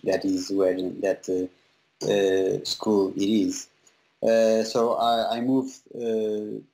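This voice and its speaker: Gravelly, slow Portuguese-accented man. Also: fairly low pitch, a little mellow, low-quality recording. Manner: halting, many fillers and restarts. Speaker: guest, visual effects compositor. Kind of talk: casual interview talk.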